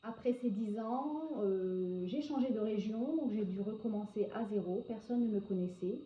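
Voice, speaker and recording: A woman in her forties answers interview questions at an even, middle pitch, often pausing on fillers before going on. The recording is roomy and echoey, with a dull, muffled tone and a faint hiss behind her. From northern France. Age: 40s. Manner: hesitant, frequent filler pauses. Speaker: hairdresser interviewee.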